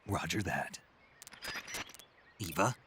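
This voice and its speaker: A gruff male special-ops soldier in a game cutscene. His voice sits very low yet has a bright, forceful edge. He speaks in short, clipped, murmured lines. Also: slow, slight background noise, exaggerated voice. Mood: terse. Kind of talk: video game cutscene acting.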